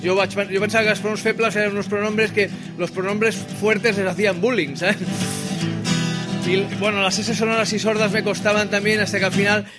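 High-voiced guy from cracking jokes between songs, guitar strumming under him, live gig sound. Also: little pitch movement, fairly quick. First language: Spanish. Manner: irreverent, joking, swears freely. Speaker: singer-songwriter. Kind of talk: live concert with stage banter. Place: live venue with audience. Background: acoustic guitar.

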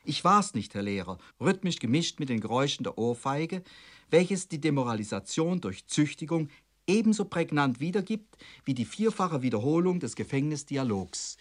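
A man reads a scripted radio lecture as a commentator, formal and measured, phrasing long sentences precisely. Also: mid-range voice.

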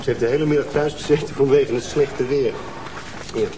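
An actor playing a skeptical younger police detective with quick retorts, in a Dutch TV drama. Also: male voice, medium pitch, fairly even intonation, noisy background, natural voice.

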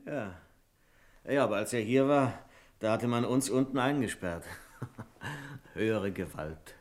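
Senior clerk, male, acted: medium pitch, mild, composed, radio crime play delivery. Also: slow, close to an everyday voice. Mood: mild, resigned. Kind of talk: German radio crime drama acting.

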